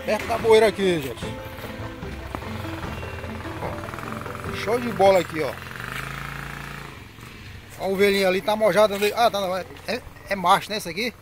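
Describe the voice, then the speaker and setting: A man's voice: high-pitched, moderate pace, long pauses, music in the background. A man hosting a casual vlog at an outdoor animal market, talking straight to his viewers. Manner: chatty, addresses viewers directly. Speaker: channel host. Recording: noisy outdoor phone recording.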